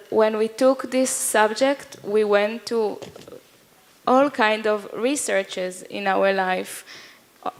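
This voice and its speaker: A woman answers questions after a performance in slow, halting English. Her voice has a gravelly, creaky texture at a middle pitch. She gropes for words between phrases. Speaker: performer in post-show talk. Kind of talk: post-performance discussion.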